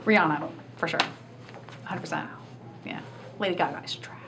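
A woman's voice: lowish voice, moderate pace, vocal fry, background noise. A woman giving casual, playful answers in an interview. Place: indoor school office. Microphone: camera mic from across desk.

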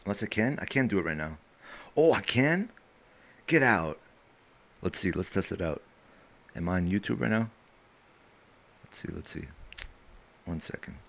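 A man in his thirties talking with his stream chat and freestyle rapping into a handheld mic in a small bedroom studio, chatty and quick with rhymes. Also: low voice, lively intonation, creaky, fairly bright tone. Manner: chatty, self-correcting, rhymes fast over a beat. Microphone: handheld mic held close. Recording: hip hop beat playing underneath. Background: hip hop beat.

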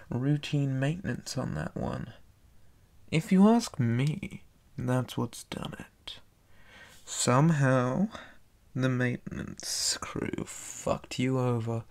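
A man playing a deranged, taunting monster boy inmate in an ASMR horror roleplay, with a breathy, whispery rasp and a slow, teasing drawl. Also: mid-range voice, darkish tone.

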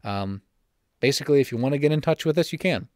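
A young man hosting a solo church-history podcast from home, slightly raspy and talking fast with frequent self-corrections. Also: medium pitch, lively intonation, dry recording, studio quality. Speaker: podcast host. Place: home room.

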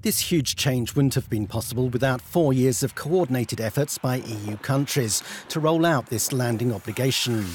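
A man's voice: mid-range voice, normal speed, hardly any pauses, slight background noise. A man reading scripted narration as a news documentary reporter.

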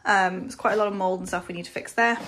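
A young woman talks casually into a phone held at arm's length, candid and chatty as she moves through an empty house and out onto a street. Her voice sits mid-pitched for a woman, and clear noise runs under the phone audio. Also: fairly even intonation, fast, a bit bright. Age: young adult. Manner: chatty, candid, talks while walking around. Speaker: vlogger. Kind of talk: casual vlog narration. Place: empty house indoors, then outdoors on a street.

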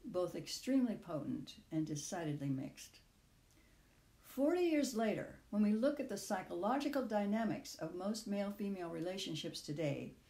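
An older woman reading her own personal essay aloud in measured, deliberate phrases. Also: mid-range voice, mellow, echoey room.

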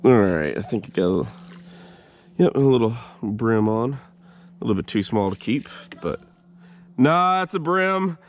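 A man's voice: medium pitch, unhurried, pauses often, highs cut off, slight background noise. Laid-back man narrating from a kayak. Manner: relaxed, chatty, swears freely. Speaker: kayak fishing vlogger. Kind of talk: casual narration while fishing. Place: outdoors in a kayak on a swampy creek. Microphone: head-mounted action camera.

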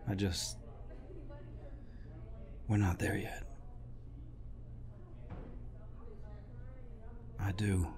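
An actor playing a gruff, protective older war veteran in an intimate audio roleplay, deep-voiced, tender and teasing. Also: male voice, darkish tone, a little hiss or hum. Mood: hesitant, worried.

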